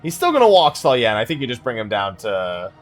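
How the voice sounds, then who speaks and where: A man gives casual commentary while playing a video game, partly talking to himself. His voice sits at a middle pitch and moves up and down in an animated way, with faint music behind it. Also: fast. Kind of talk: casual let's play commentary.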